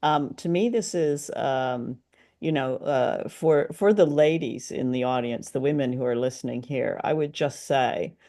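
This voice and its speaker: A woman's voice: very deep voice, measured pace, warm tone. A woman hosting a casual chat about an old radio drama, with a playful, wry manner. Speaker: podcast host.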